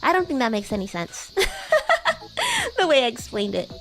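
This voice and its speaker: A woman with a high, girlish voice and very lively intonation. She chats casually as a livestreamer, rambling along conversationally over background music. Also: measured pace. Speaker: VTuber streamer.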